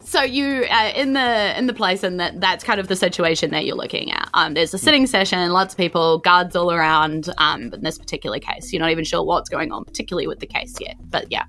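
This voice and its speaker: Bright-voiced New Zealand woman, game master. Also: on the low side, brisk, few pauses. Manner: informal, meandering, conversational. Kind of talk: narrating a tabletop game, improvised.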